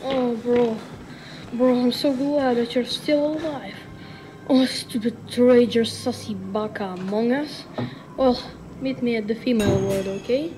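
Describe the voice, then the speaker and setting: A woman's voice: a little high, fairly even intonation, a little slow, music in the background, lightly acted, poor audio. A woman voicing a chummy, relieved ally in a fan-made horror game line, using casual slang.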